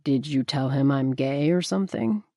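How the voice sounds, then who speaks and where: A woman reading the part of a blunt, sarcastic young man who needles his friend, in a deliberately lowered voice. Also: dark tone, no room sound, studio quality. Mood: needling. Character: brash, teasing young man, male friend.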